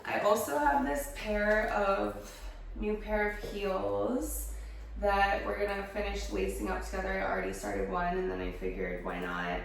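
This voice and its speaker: Young woman vlogger, hoarse from a cold, gravelly and chatty, a bit far from the mic in a very echoey room. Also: mid-range voice, unhurried, slight background noise. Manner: chatty, lots of fillers. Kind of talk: casual chat to camera. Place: her home studio.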